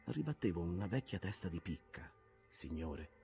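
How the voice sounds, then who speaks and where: A scarred, weary old blacksmith, as a male reader puts him on, who answers his captain humbly and plainly. The voice is pitched above the reader's deep narration and moves with a lively lilt. Quiet music drifts in the background. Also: moderate pace, creaky, full character voice. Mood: humble.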